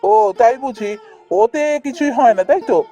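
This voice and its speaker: A woman's voice: a little high, normal speed, warm tone, faint music behind, close to an everyday voice. A woman voicing a principled, kind-hearted younger brother in a fairy-tale cartoon, earnest and firm. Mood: hurt, defiant.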